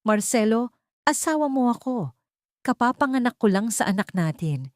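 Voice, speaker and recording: A woman voicing a weak, heartbroken young mother who pleads hoarsely in an audio drama. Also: on the high side, big pitch swings, normal speed, no echo, clean studio sound, pitched above the performer's own voice. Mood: disbelieving, pleading. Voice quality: hoarse, trembling.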